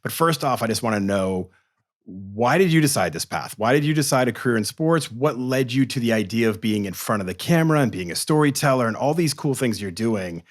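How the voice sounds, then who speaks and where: A man's voice: medium pitch, lively intonation, fairly quick. A man from Boston hosting a career podcast, enthusiastic and joking with his guest. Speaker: podcast host. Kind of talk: casual interview.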